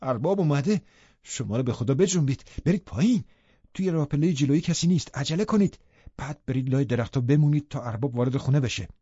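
Storybook role: man playing agitated housekeeper woman, high urgent scolding, exasperated, echoey room sound. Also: darkish tone, highs cut off, close to an everyday voice. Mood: urgent warning.

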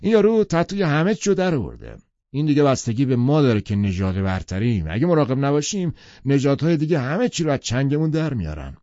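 A man's voice: mid-range voice, huge pitch swings, warm tone, no room sound, voice pushed up. A man voicing a boastful, arrogant wealthy man who lectures emphatically, in a dull lo-fi audiobook recording. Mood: blustering.

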